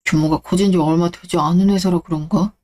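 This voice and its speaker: A woman's voice: lowish voice, fairly even intonation, brisk, dark tone, pitched below the performer's own voice, natural voice. A woman voicing a scheming middle-aged man in a radio drama, muttering to himself and acting falsely friendly. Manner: smug, muttering to himself, then fake-friendly.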